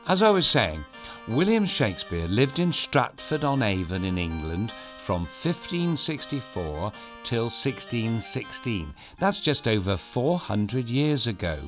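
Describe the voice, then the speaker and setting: A man's voice: medium pitch, very animated, a little slow. A man hosting a children's story show, reading a script in a playful, theatrical and explanatory way. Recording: clear music behind voice.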